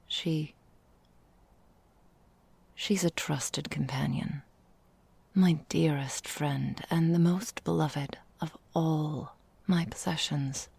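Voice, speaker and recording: A woman playing an ancient, elegant vampire mistress in an ASMR roleplay, speaking formally and deliberately in a breathy, gravelly, whispery voice. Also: low voice, fairly even intonation, darkish tone, no room sound, full character voice. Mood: fond, wry. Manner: slow, formal, theatrical, deliberate.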